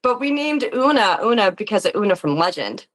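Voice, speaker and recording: A woman's voice: mid-range voice, big pitch swings, brisk, a bit bright. A woman chatting casually as an interview guest, informal and self-effacing. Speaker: interview guest, tabletop game performer. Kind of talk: casual chat.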